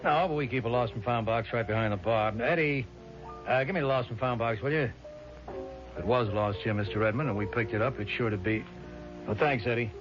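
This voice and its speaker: A man's voice: medium pitch, a little fast, lightly acted. Man acting a streetwise nightclub boss, muffled sound. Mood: obliging. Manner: colloquial, chummy. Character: affable, wary male nightclub proprietor, streetwise and obliging. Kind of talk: 1970s radio drama acting. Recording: dull vintage radio recording with music.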